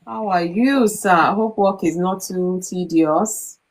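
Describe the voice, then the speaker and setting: Woman, middle-aged, live stream host, faith talk, low-ish voice. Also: takes it slowly. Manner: warm greetings to viewers, many fillers.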